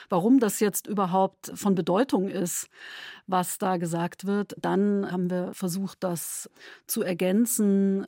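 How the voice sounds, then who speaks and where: A woman of medium pitch explains her radio work in an interview. She talks carefully and in a considered manner. The recording is dry, with no room around the voice. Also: darkish tone, dry recording. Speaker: radio play maker.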